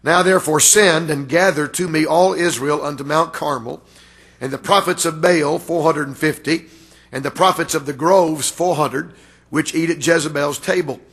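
A man preaching a revival sermon with a raspy voice, building to loud, emphatic, drawn-out delivery. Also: fairly high pitch, fairly even intonation. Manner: rises to loud emphatic preaching, stretched words. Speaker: guest preacher.

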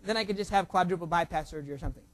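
High-voiced joking young preacher. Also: male voice, very fast. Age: under 45. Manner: jokey, self-teasing, surging loud emphasis. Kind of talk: sermon with prayer.

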